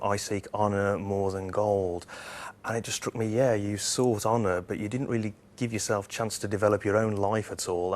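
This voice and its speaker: A man giving conversational opinions as a guest in a book discussion, over faint rumble.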